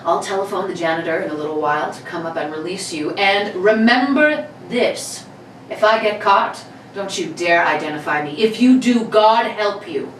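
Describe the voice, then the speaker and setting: A woman's voice: mid-range voice, moderate pace, close to an everyday voice. A woman playing a cocky male burglar of about thirty in an old-time radio play reading, gloating and mock-courteous. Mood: cool, menacing. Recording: roomy, distant mic, background noise.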